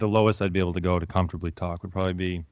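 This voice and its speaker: A man's voice: deep voice, flat delivery, very fast, no echo, muffled recording. A man hosting a podcast, chatting casually and joking, swearing freely. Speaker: podcast host. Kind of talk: casual profane chat.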